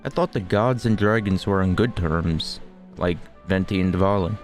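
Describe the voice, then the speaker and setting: A man's voice: low-pitched, normal speed. A man doing casual gameplay commentary as a streamer, hesitant and thinking aloud. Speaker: video game streamer. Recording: faint game music behind.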